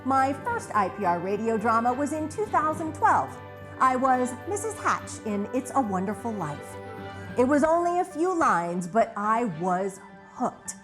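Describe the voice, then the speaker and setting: A woman's voice: a little high, sing-song, measured pace, over music. An American woman presenting a scripted TV retrospective as its host. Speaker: host of a radio drama retrospective, voice actor.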